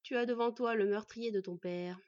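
A woman voicing a protective, sober magical she-wolf in a storybook, measured and cautious. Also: fairly high pitch, darkish tone, no room sound, lightly acted. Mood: grave. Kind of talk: storybook character voice. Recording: dull, slightly distant microphone.

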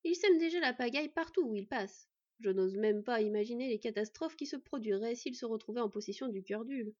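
A woman's voice: a little high, fairly quick, warm tone, highs cut off, close to an everyday voice. A woman voicing a bold she-wolf companion, speaking in a firm, decisive way. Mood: worried.

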